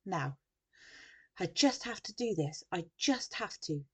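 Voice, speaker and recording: A woman's voice: fairly low pitch, expressive, slow, husky, exaggerated voice. A woman voicing a nervous, hesitant young schoolboy who stammers in a storybook. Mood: resolute, anxious.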